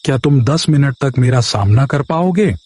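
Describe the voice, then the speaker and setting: An actor playing a menacing devil who taunts his frightened prey in an animated horror story. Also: mid-range voice, normal speed, lightly acted. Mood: menacing, taunting.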